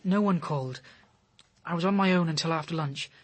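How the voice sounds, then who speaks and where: Anxious, breathy young man, played by an actor. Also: on the high side, a little fast, natural voice. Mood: subdued. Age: young adult. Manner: hesitant, earnest, pleading. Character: anxious, lovesick, inexperienced young man, easily led. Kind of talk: radio drama acting.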